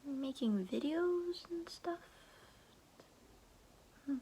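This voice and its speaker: A woman's voice: high voice, animated, extremely slow, warm tone, slight background noise, full character voice. A young woman voicing a kindly, slightly clueless old grandmother in a whispered, soothing ASMR roleplay, fussing with folksy endearments, close to the microphone. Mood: chatty, puzzled.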